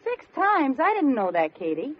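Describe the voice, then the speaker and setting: High-voiced, feisty American radio housewife. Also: female voice, wildly swooping pitch, moderate pace. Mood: wry. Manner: theatrical, pouting, wry. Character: feisty, scheming, melodramatic young housewife. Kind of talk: 1940s-50s radio sitcom acting. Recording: muffled old radio recording, live audience.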